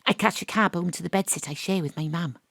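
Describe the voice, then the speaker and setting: A woman's voice: on the low side, fast, gravelly, a bit bright, dry recording. An actress playing a wry, hard-boiled woman detective, narrating in noir style and trading dry lines. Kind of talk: noir first-person narration and dialogue. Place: home studio.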